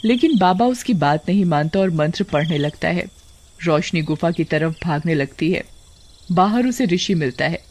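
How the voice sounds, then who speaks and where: A man narrates a scripted horror tale in a high-pitched voice. There is a faint chirping insect noise behind him as he tells it. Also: animated, moderate pace, a little mellow. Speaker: story narrator.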